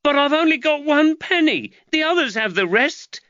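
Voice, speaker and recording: A man's voice: extremely high pitch, sing-song, normal speed, bright, forceful tone, no room sound, highs cut off, pitched above the performer's own voice, full character voice. A man playing a whiny sulky teenage boy. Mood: pleading. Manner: whiny, protesting.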